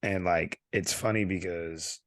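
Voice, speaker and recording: A man in his thirties with a low, deep voice tells long, animated stories on a casual podcast. His voice is wearing thin and he keeps clearing his throat. The sound is close and dry. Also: measured pace, dry recording. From California. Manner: rambling, animated storyteller. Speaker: podcast co-host.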